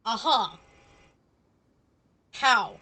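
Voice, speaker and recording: A woman's voice: a little high, animated, extremely slow, bright, forceful tone, husky. A woman live-streaming a video game, giving casual commentary with playful, teasing reactions.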